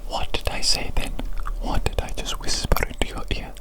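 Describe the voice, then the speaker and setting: An evil doctor played by an ASMR performer speaks entirely in a breathy whisper. The phrasing is formal, deliberate and repetitive, doting on a creature patient with a vengeful streak underneath. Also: lightly acted. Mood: patient, instructing. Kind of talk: whispered ASMR medical roleplay.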